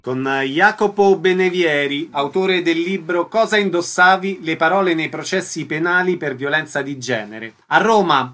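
A man with a fairly high-pitched voice works as a radio interviewer. His delivery is formal and scripted, with the precise manner of an announcer as he introduces items and puts questions. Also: fairly bright tone.

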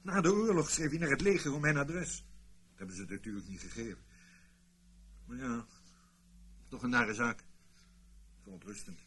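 A man's voice: medium pitch, normal speed, pauses often, fairly bright tone, lightly acted. A man playing an evasive, self-justifying British ex-army officer in a Dutch radio play, sometimes boastful, sometimes hesitant and defensive. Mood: defensive, uneasy. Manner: boastful storytelling, hesitant and defensive when challenged. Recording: noisy old radio play recording.

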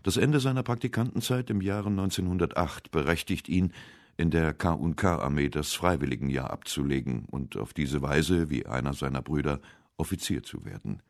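A man narrating a scripted literary radio essay with measured, deliberate phrasing. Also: extremely low pitch, animated, creaky, no room sound, studio quality. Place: dry radio studio.

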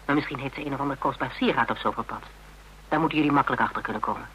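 An actor playing a policeman's sharp, teasing, skeptical confidant in a radio drama, expressive and mocking. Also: mid-range voice, rapid-fire, muffled recording, slight background noise, close to an everyday voice. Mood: animated, conspiratorial.

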